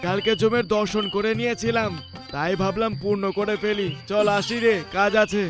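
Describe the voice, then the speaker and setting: A man as a high-voiced, nervous cartoon landlord uncle. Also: steady tone, normal speed, music in the background, close to an everyday voice. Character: nervous, suspicious male landlord, an uncle figure. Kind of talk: Bengali cartoon voice acting.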